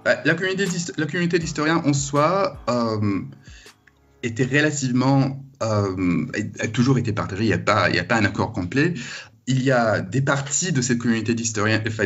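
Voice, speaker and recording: A man's voice: medium pitch, animated, a little fast, a bit bright, soft background music. A man giving academic interview answers, hesitantly rephrasing as he goes, on slightly dull audio. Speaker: university lecturer interviewee.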